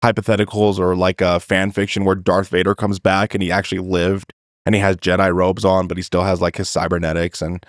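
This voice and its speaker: A man's voice: low voice, level tone, fairly quick, dry recording, studio quality. A man hosting a podcast, chatting casually and loosely as he recaps, with some swearing. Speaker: podcast host. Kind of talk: casual film chat, sweary.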